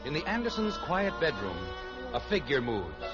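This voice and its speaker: Lively, higher-pitched American radio announcer man. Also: moderate pace. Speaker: show announcer and narrator. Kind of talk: 1950s radio scripted narration. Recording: muffled old radio recording with music.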